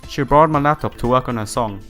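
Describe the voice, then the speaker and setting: A man reads visual novel text aloud in a casual let's play style, slipping in his own remarks. His voice is a little broken from a sore throat and sits at an average pitch. Faint game music plays behind him. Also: fast talker, fairly bright tone. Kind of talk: casual playthrough, reading game text with side comments.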